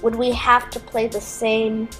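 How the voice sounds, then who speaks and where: A woman's voice: a little high, completely flat delivery, a little slow, a bit bright, soft background music. A woman speaking casually as a podcast guest, asking simple questions. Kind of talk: casual chat.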